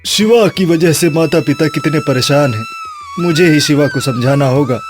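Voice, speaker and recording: A man's voice: fairly high pitch, wildly swooping pitch, normal speed, over music. A man voicing an earnest, hardworking younger son in a cartoon moral story, speaking sincerely and pleadingly. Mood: worried, pleading.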